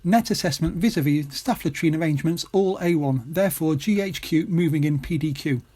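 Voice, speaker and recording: An amateur actor playing a pompous, brisk army officer who talks in clipped, patronising military jargon in a comic audio play. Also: medium pitch, dark tone, close to an everyday voice. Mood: officious, brisk.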